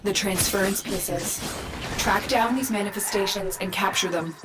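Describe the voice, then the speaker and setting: Loud noise surrounds the voice of a woman acting as an authoritative female mission guide in a video game. She sounds commanding and measured, at a middle pitch. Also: close to an everyday voice. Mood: firm, urgent. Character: authoritative mentor woman guiding a warrior by radio.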